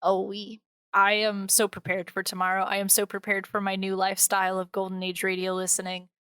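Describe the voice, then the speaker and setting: A woman's voice: medium pitch, monotone, a little fast, fairly bright tone, clear, resonant tone, no echo, clean studio sound. A woman hosting a casual podcast, gushing and rambling in an informal way. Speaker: podcast host.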